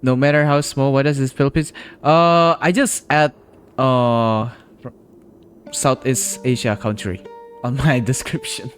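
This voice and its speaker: Slow-talking playful male streamer. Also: medium pitch. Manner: relaxed, playful reactions. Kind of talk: casual live game commentary. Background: game music.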